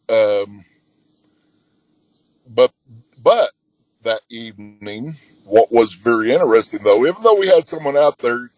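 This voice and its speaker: A man guest telling his story in a halting way with many fillers, a man with a booming voice. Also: darkish tone, dry recording, lo-fi sound. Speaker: guest, expedition leader. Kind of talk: casual storytelling.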